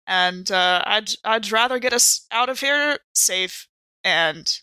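A woman with a bright, forward voice plays in a tabletop horror game. She improvises casually with wry, playful delivery, recorded close and dry. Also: medium pitch, slow pace, clean tone, no room sound, poor audio. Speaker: player in actual-play podcast. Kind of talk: casual in-character improvised roleplay.

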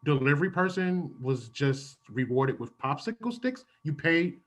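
A woman's voice: sits low, big pitch swings, unhurried, exaggerated voice. A woman improvising a comedy sketch as an awkward, exasperated shop clerk chasing payment, hesitant and stumbling.

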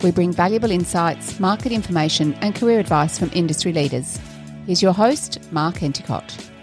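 A woman's voice: fairly low pitch, expressive, moderate pace, soft background music. Polished woman announcing a show. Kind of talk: scripted show intro.

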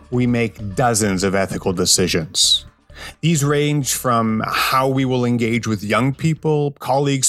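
A man hosts an interview podcast at an unhurried pace. He phrases things deliberately, and the sound is dry and close, with a middling pitch. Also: no room sound. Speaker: podcast host interviewing a guest. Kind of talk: podcast introduction and conversational interview.

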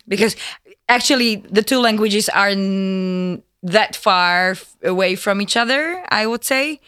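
A woman hosting a casual interview in an animated, encouraging way. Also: mid-range voice, clear, resonant tone, no echo, clean studio sound. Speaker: podcast host.

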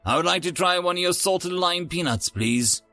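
An eager, naive alien tourist acted out by a man reading a story, pitched high and bright. He is over-polite and earnest, with an excitable, bouncing delivery. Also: normal speed, no echo, soft background music, exaggerated voice.

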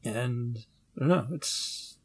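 A man's voice: mid-range voice, takes it slowly, breathy edge. A man co-hosting a casual review podcast, speaking in an understated, tentative way. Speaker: podcast co-host. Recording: faint background noise.

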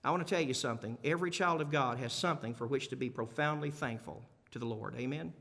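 Bright, forceful male preacher in a hall. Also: medium pitch, quick pace, vocal fry. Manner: emphatic, repeats key phrases for effect; conversational, rhetorical questions to listeners. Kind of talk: sermon to a congregation. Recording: long hall reverb.

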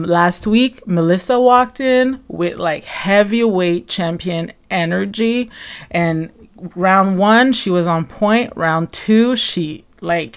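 A young woman hosting a casual gossip podcast, her voice a little raspy from a sore throat, talking in a chatty, animated way with lots of fillers. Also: fairly low pitch. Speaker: podcast host.

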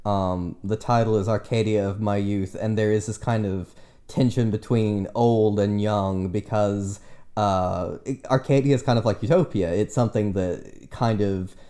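A young man in his late twenties, talking loosely on a podcast with a lowish voice. He rambles, restarts his sentences and pokes fun at himself. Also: steady tone, measured pace, warm tone. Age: 20s. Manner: rambling, self-deprecating, frequent restarts and fillers. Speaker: podcast guest and teacher. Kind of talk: casual podcast chat.

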